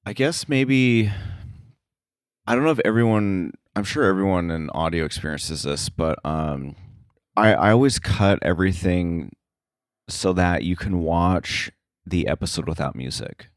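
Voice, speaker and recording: A man's voice: low voice, unhurried, no echo, studio quality. A man speaking casually as a podcast guest, a sound effects editor with a self-deprecating, chuckling way of talking. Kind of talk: casual interview conversation.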